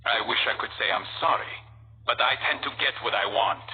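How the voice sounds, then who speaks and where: A man's voice: mid-range voice, brisk, natural voice. A man voicing a stern, self-assured medieval assassin in a video game, speaking in a terse, solemn way. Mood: cool, confident. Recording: echoing, tinny band-limited game audio, faint noise.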